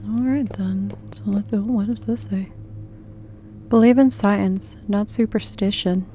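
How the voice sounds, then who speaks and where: Slow-paced, mellow woman playing a game. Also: mid-range voice, fairly even intonation, a little hiss or hum. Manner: halting, stumbling when reading. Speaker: gaming video host. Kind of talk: casual let's-play, reading game text aloud.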